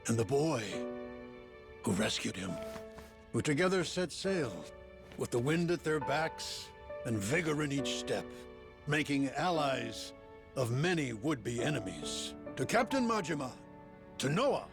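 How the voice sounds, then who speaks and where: Florid theatrical financier, video game toast-maker, mid-pitched lively intonation, music behind. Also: male voice, unhurried, gravelly, pauses often. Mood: stirring. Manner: pompous, florid oratory. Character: theatrical grandiloquent New York financier giving a toast. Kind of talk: dramatic video game cutscene acting.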